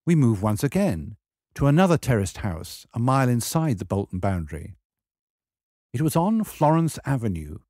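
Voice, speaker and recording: A man reading a scripted memoir as a wry, measured storyteller. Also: mid-range voice, darkish tone, no echo, studio quality. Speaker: memoir reader.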